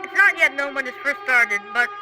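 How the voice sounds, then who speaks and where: An amateur announcer giving homemade news and emergency alert messages in a halting, improvised way. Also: high voice, over loud music. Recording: loud background noise, homemade recording.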